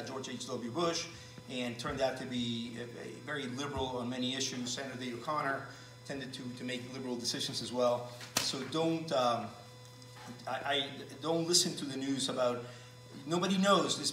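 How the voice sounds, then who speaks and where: Cuban-born male lecturer, bright voice, measured with restarts; roomy, distant mic. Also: medium pitch. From Cuba. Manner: measured, frequent restarts and fillers. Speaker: speaker giving a talk. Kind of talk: lecture to an audience.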